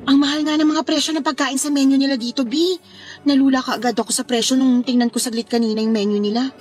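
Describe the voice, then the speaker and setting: A woman's voice: sits high, fairly even intonation, quick pace, a little mellow, natural voice. An actress playing a sweet, accommodating young Filipino woman, a shy girlfriend, in a radio drama. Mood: nervous, impressed.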